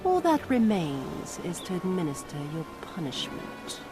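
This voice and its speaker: A woman's voice: lowish voice, very animated, a little slow. A woman playing a cruel, sadistic and haughty imperial overlord in a video game, speaking with theatrical contempt and drawling menace. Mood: cold menace. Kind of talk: dramatic video game voice acting. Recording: loud music behind the voice.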